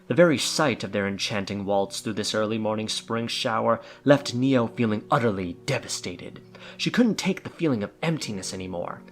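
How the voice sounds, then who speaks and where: Animated male audio drama narrator. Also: mid-range voice. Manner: theatrical, storytelling.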